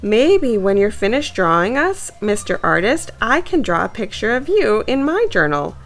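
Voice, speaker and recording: A woman voicing a bold, eager young female mouse in a storybook, excitable and adventurous. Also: moderate pace. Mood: keen. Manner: squeaky exclamations, eager.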